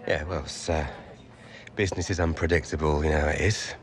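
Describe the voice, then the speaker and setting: A man's voice: very deep voice, fairly even intonation, fairly quick, gravelly. An actor playing a slick, evasive male football agent in a video game cutscene.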